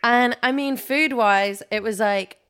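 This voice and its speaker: Smooth-voiced young Englishwoman, rambling. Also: on the high side, measured pace, a bit bright. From England, London-born, raised partly in Kosovo. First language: Albanian. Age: 20s. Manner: rambling, hesitant, heavy on "like" and "you know". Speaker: guest. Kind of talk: casual chat.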